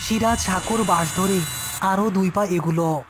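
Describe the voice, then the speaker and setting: Horror story narrator, mid-pitched, scripted storytelling over clear background music. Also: normal speed.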